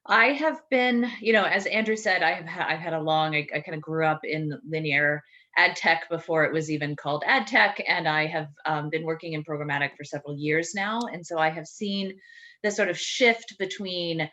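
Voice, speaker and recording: A woman's voice: low voice, normal speed, bright, forceful tone. A woman panelist on a video call, speaking in a chatty way and often correcting herself. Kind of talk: panel discussion. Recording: dull lo-fi audio.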